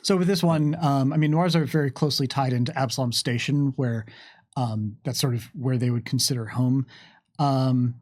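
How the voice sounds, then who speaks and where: A man's voice: mid-range voice, normal speed, a little mellow. A man chatting casually on a livestream Q&A, reading out questions and answering them in a hesitant, thinking-aloud way. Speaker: game lore panelist reading viewer questions.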